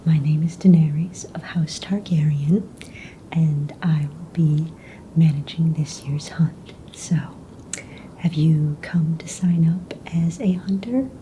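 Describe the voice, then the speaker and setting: Woman acting as calm young fantasy hunt official, breathy whisper, very dark mellow tone, casual matter-of-fact ASMR roleplay, unhurried, noisy low rumble. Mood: calm, polite. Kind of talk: soft-spoken ASMR roleplay.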